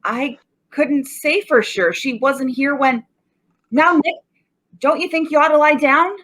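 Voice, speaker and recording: High, bright radio detective played by a woman. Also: unhurried, a little hiss or hum. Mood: placating. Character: plain-spoken, placating police detective pal. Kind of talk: 1940s radio acting.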